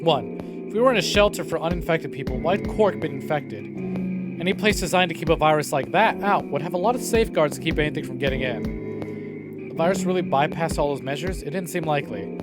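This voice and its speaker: A man's voice: on the high side, animated, brisk. A male streamer reading a visual novel aloud, with playful, wry asides. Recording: game music behind the voice. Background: clear game music.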